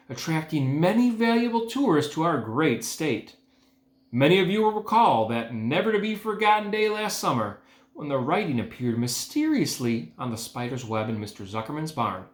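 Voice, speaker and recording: A man voicing a pompous fairground loudspeaker announcer from a storybook, grand and ceremonial in delivery. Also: fairly high pitch. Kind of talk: storybook character voice in a read-aloud. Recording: echoey room.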